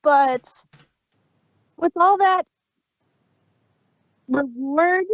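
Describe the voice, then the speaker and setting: A woman's voice: high voice, drawn-out words, dry recording, muffled recording. A woman co-hosting a podcast, talking casually as a fan in discussion. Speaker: podcast co-host.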